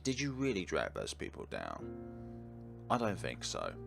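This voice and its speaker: A man hosting a game playthrough, reading the text aloud and commenting in an earnest, deliberate, theatrical way. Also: very deep voice, wildly swooping pitch, gravelly, music in the background. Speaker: video game playthrough host. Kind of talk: dramatic read-aloud of game text with his own commentary.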